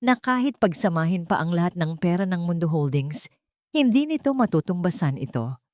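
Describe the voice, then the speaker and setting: A loving young husband in a storybook romance, speaking quietly and thoughtfully, as a woman narrator reads him. The voice stays low for a woman and plain. The sound is dry and close. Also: sing-song, normal speed, no room sound. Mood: reflective.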